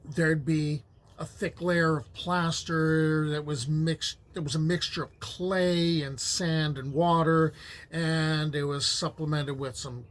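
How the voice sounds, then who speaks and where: A man's voice: fairly high pitch, fairly even intonation, slow pace, fairly bright tone. A middle-aged man who grew up speaking Ukrainian at home, giving a casual, rambling lecture on folklore as a history teacher, with many fillers and restarts. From United States.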